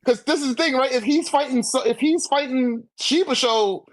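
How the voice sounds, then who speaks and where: A man's voice: very high-pitched, normal speed. An American man chatting casually in a fan debate, swearing freely and leaning on slang and filler phrases. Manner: slangy, profane, repeats "like" and "you know what I'm saying".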